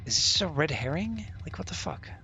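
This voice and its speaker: A man's voice: a little high, big pitch swings, moderate pace, sharp tone, faint music behind, rough recording. A man live-streaming a puzzle game, muttering and swearing in frustration as he guesses passwords. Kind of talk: live-stream commentary while gaming.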